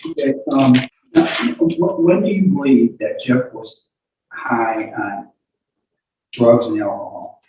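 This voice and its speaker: A man's voice: mid-range voice, big pitch swings, slow pace, warm tone. A man cross-examining a witness in court, stammering and repeating words as he puts his questions. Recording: very echoey room, distant mic.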